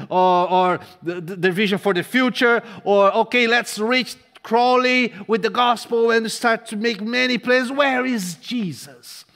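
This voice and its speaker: Brief: male preacher, native Portuguese speaker, fervent, dynamic delivery, fairly high voice, long hall echo. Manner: impassioned, swings from loud to hushed, repeats words for emphasis. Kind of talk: sermon. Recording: long reverb of a large hall.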